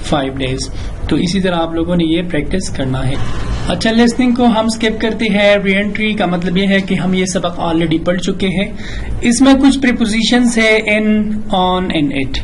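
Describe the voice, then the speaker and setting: A man with a Pakistani accent speaks in a notably high voice at an unhurried pace. He teaches English in a deliberate, instructional manner, moving between explanation and example sentences. There is some background noise on the recording. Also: poor audio. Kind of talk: bilingual lesson explaining grammar and reading out example sentences.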